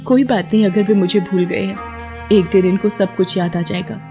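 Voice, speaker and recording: A woman's voice: medium pitch, steady tone, normal speed, music in the background, lightly acted. A woman voicing a devout, stubborn, tender young wife in a folktale, with an earnest, emotive delivery. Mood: devoted, resolute.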